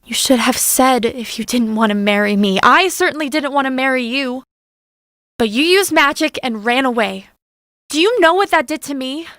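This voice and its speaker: A woman's voice: sits high, normal speed, fairly bright tone, no echo, studio quality. A woman playing a resentful princess long trapped in a castle, in a fantasy audio drama. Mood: bitter. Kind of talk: stage-style fantasy audio drama acting.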